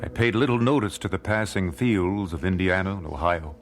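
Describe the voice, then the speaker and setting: A man's voice: sits low, fairly quick, faint music behind, natural voice. An actor playing an earnest, devout 19th-century family man, reflective, in a radio drama. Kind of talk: radio drama narration and dialogue.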